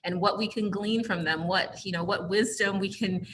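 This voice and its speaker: A woman hosting a library entrepreneur talk, enthusiastic and quick to laugh. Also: mid-range voice, fairly even intonation, background noise. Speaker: program host. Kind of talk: hosting an informal conversation.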